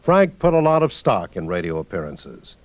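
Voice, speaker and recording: A man hosting and narrating a scripted radio tribute in a measured announcer manner. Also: deep voice, big pitch swings, faint music behind. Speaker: radio documentary narrator and host.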